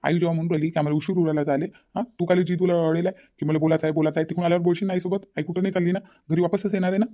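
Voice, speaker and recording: A man's voice: fairly high pitch, little pitch movement, racing, hardly any pauses, dark tone, lightly acted. A man playing a placating, mildly stern son and husband with a Varhadi accent in a cartoon family drama. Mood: placating, firm. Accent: rural Maharashtra, Varhadi. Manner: repetitive, placating, firm.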